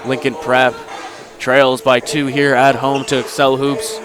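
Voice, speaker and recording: A man under the weather calling a basketball game play by play, rising to shouts on made shots. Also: medium pitch, unhurried. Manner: rises to excited shouts on baskets. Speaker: play-by-play commentator.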